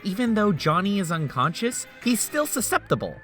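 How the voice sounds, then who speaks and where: A man voices a sardonic, scheming male doctor in a game fan dub. His voice is bright and swoops around in pitch, with blunt, teasing jabs. Faint music plays underneath. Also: very animated, normal speed. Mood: excited. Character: sarcastic, scheming male memory-doctor, middle-aged.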